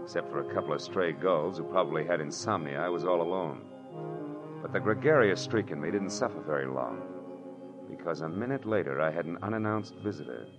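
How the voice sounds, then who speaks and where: A tough private detective from a 1940s radio serial. His low voice is clipped and wry, full of hard-boiled sarcasm. Music runs behind the dull vintage recording. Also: lively intonation, brisk. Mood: wry narration. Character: tough, wisecracking male private detective narrating his case.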